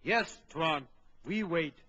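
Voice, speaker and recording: An actor playing an excitable, loyal local helper in an old children's adventure record, speaking clipped, deferential broken English. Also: male voice, on the high side, slow pace. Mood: deferential, calm.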